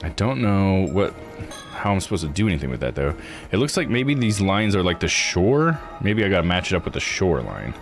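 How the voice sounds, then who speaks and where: A man's voice: low-pitched, sing-song, a little fast. A man doing casual let's play commentary, thinking aloud and reading game text out. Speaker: video game streamer. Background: game music.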